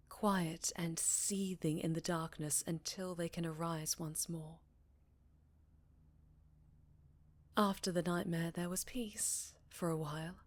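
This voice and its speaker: Slow, hushed, haunted vampire woman, voiced by an actress. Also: on the low side. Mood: wistful, recounting. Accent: Southern. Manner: slow, breathy, often dropping into whispers. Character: haunted, sorrowful young-looking vampire woman telling her tale. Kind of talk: ASMR roleplay, intimate dramatic storytelling.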